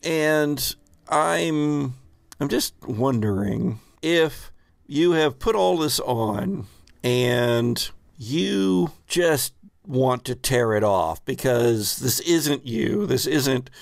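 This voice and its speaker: Male game master, unhurried and gravelly, thoughtful improvised narration, very dry sound. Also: mid-range voice, expressive, no room sound.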